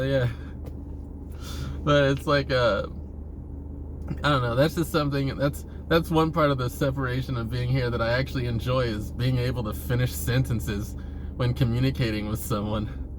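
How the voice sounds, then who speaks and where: A man giving a casual, rambling monologue to viewers, halting and repeating himself, with informal, sweary phrasing. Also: medium pitch, slight background noise. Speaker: vlogger.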